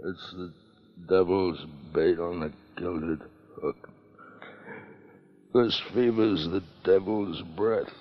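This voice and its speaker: An actor playing a fevered, gold-crazed treasure hunter in a melodramatic, raving, halting style of 1950s radio drama. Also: male voice, low voice, fairly even intonation, lo-fi sound. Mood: feverish despair.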